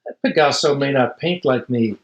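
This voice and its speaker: A man's voice: medium pitch, normal speed, lo-fi sound, close to an everyday voice. A man voicing a grumbling young bull painter from a children's storybook, with blustery indignation. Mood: grudging regret.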